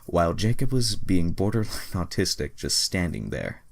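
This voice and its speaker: Young male reader, bass-low and fry-heavy, sarcastic, sweary, mock-dramatic delivery of amateur fiction. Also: sing-song, normal speed. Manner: sarcastic, profane asides. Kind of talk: mocking dramatic reading of amateur fan fiction.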